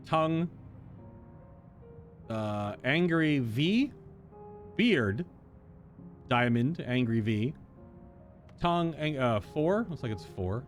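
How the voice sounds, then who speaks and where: A man's voice: mid-range voice, lively intonation, takes it slowly, pauses often. A man streaming a game playthrough, muttering to himself and reacting live to what happens. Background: game music.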